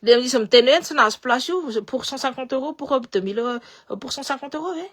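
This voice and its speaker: Animated woman with a slight Malagasy accent. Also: high-pitched, normal speed. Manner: animated, repeats words, switches between languages. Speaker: political commentator. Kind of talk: casual live talk.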